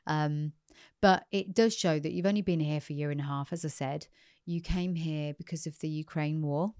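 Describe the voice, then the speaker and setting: Woman podcast host, really low voice, chatty and encouraging, dry close sound. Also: fairly even intonation, moderate pace, clean tone, dry recording. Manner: warm, encouraging, chatty with many fillers. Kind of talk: interview hosting.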